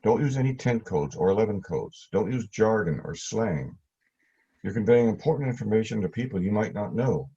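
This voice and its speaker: A male instructor with a mellow, husky voice reads prepared teaching material in a plain, measured manner. The delivery is instructive and straightforward. The recording is dull and lo-fi. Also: fairly low pitch, lively intonation. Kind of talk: scripted instructional narration. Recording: dull, lo-fi audio.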